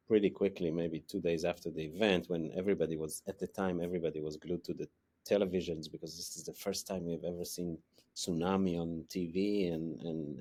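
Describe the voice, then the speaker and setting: A middle-aged man with an Israeli accent, an economics professor answering interview questions haltingly, with many fillers and restarts. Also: low-pitched, darkish tone.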